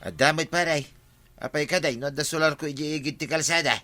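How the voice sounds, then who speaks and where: A man's voice: a little high, quick pace, pushed and bright. A man with an Ilocano Filipino accent playing an agreeable, plain-talking village buddy in a comedy drama.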